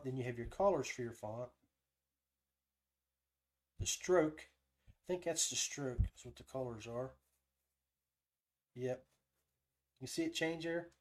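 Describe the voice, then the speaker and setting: A man's voice: mid-range voice, darkish tone. A man hosting a casual live tutorial, thinking aloud as he demonstrates each step. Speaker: podcast host giving a software tutorial.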